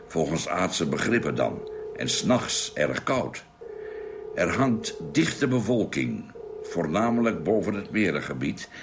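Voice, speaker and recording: Ship's doctor for sci-fi radio play: low, thoughtful, explanatory, audible noise behind. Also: male voice, very animated, unhurried, exaggerated voice. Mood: thoughtful, observing. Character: thoughtful male doctor aboard a Mars spaceship. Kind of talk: Dutch radio play acting. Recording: noisy radio drama recording.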